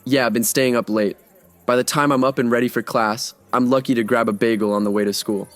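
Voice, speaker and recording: A man in his twenties playing an easygoing young art student who talks in a casual, joking way, over soft music. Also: medium pitch, steady tone, brisk, no room sound, close to an everyday voice. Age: 20s. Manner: casual, joking and laid-back. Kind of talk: audio drama acting.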